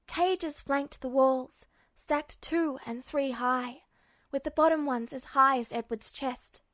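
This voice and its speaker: A woman's voice: high-pitched, no room sound, studio quality. A woman reading a scripted story aloud as an audiobook-style fan fiction narrator. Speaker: podfic narrator.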